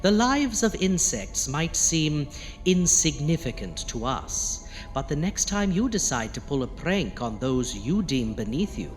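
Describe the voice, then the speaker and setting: A man playing a theatrical, gleefully morbid horror host, narrating in a hammy, drawn-out, mock-pitying way. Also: mid-range voice. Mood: sly menace. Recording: reverberant hall, faint music behind.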